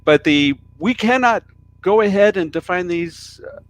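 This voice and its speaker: An American man with a somewhat high voice talks casually on a live stream. He thinks out loud and often repeats words and restarts his sentences. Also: animated, a little slow, slight background noise. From United States. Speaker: co-host of a live stream discussion. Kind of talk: casual discussion about business change.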